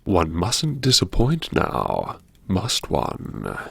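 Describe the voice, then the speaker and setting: Man acting a gravelly, theatrical, sardonic narrator. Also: low voice, wildly swooping pitch, dry recording, heavily acted. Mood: grandly self-mocking. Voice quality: gravelly, husky. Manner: arch, sardonic, savouring words with dramatic pauses. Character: wry, theatrical, self-mocking university vice-chancellor narrating his haunted memoirs. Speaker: narrator of a serialised audio story. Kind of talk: theatrical first-person audiobook narration.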